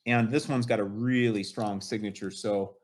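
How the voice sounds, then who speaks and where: A man's voice: on the low side, fairly even intonation, moderate pace. A man in his late fifties, a guest on an interview show, talking enthusiastically and at length with lots of "you know". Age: 50s. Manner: enthusiastic, rambling, many fillers like "you know". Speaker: guest collector. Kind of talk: casual interview chat.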